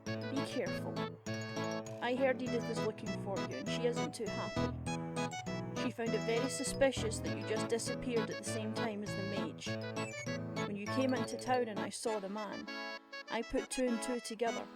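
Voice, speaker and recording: A woman's voice: a little high, steady tone, moderate pace, pauses often, over loud music, lightly acted. A woman playing a wry, sarcastic grandmother who is teasing yet protective, in an audio roleplay. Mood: cautioning.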